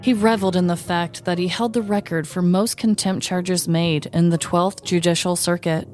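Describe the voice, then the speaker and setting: A woman hosting a podcast, reading a comedic true-crime story with wry, deadpan delivery. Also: lowish voice, moderate pace, soft background music.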